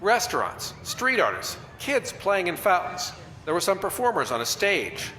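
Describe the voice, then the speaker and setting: A man's voice: high-pitched, huge pitch swings, normal speed, sharp tone, full character voice. A man playing a wisecracking, old-fashioned newspaper reporter in a radio drama, narrating with hard-boiled, slangy wit. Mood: wry. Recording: roomy echo, faint music behind.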